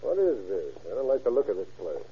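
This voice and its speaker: An actor playing a brisk, wary undercover agent posing as a buyer, blunt and businesslike, in an old radio drama. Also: male voice, mid-range voice, big pitch swings, mellow, breathy edge, heavily acted. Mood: wary, impatient. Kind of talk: 1940s radio acting. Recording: tinny, hissy old radio recording.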